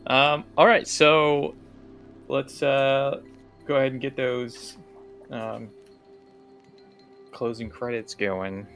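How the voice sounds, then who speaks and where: A man game-mastering a tabletop role-playing game, narrating off the cuff in a halting, conversational way. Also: medium pitch, flat delivery, fairly bright tone, soft background music.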